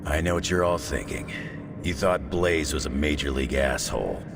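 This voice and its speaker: An actor playing a gruff, blunt veteran hero with a gravelly voice in a video game cutscene. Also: male voice, extremely low pitch, moderate pace, background noise.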